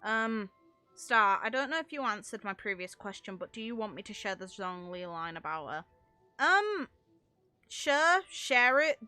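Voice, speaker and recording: A woman's voice: medium pitch, very animated, measured pace, sharp tone, clear, resonant tone, soft background music. A woman game streamer giving casual, rambling livestream commentary, explaining things at length with casual swearing.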